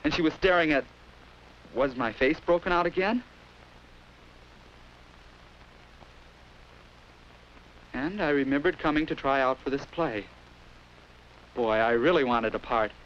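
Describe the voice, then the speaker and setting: An actor playing an anxious, earnest high school boy with an American accent, narrating hesitantly and confidingly in an old 1950s instructional film. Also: normal speed, fairly bright tone, a little hiss or hum, natural voice, low-quality recording. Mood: troubled.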